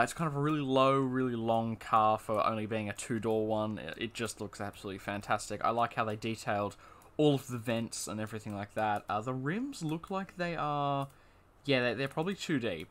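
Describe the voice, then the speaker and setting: A man's voice: fairly low pitch, a bit bright. Chatty young man reviewing a game car. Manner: chatty, enthusiastic, many fillers and repeats. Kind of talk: casual gameplay commentary.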